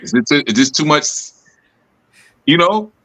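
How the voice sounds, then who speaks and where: Bright-voiced man, loose teaching talk. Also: a little high, lively intonation, unhurried, low-quality recording. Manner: loose, laughing, lots of "you know" and "man". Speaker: spiritual group leader.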